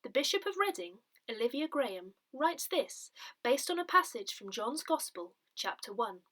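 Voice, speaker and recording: A woman reading a parish newsletter aloud in a soft, breathy, measured way. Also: fairly high pitch, very animated. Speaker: newsletter reader.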